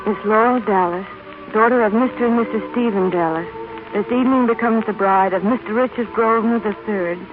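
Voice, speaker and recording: Radio drama: actor as stately male society announcer over clear music, narrow vintage recording. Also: high voice, brisk, natural voice. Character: formal society announcer proclaiming news. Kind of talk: 1930s-40s radio announcement. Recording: tinny old radio recording.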